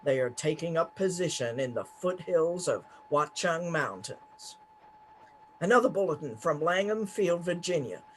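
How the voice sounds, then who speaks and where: Guy doing a old-time 1930s radio newsman, high voice, formal urgent bulletin reading. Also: lively intonation, measured pace, slight background noise. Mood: grave, urgent. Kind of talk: 1930s radio news acting.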